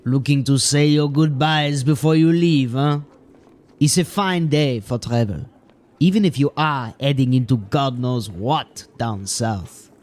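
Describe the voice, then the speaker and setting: A man's voice: mid-range voice, unhurried, darkish tone, lightly acted. A man playing a plain-spoken, deferential gatekeeper of a medieval town in an audio drama, musing in folksy turns of phrase. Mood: musing, casual.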